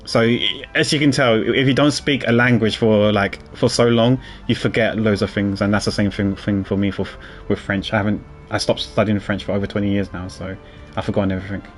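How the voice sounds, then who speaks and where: Low-voiced streamer chatting casually. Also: male voice, steady tone, brisk, faint music behind. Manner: rambling, many restarts and fillers. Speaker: game streamer. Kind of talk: casual chat, reading out chat.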